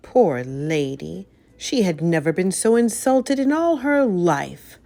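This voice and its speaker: A woman narrates a children's story in a low voice. Her reading is expressive and dramatic, swinging widely in pitch to mark each turn. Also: slow, vocal fry, faint music behind. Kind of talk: children's read-aloud narration.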